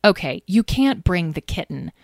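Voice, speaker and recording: A woman's voice: lowish voice, sing-song, normal speed, creaky, dry recording, full character voice. A woman voicing a bold young storybook heroine who is exasperated, protective and forthright. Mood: firm. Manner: forthright, exclamatory. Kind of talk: children's audio story.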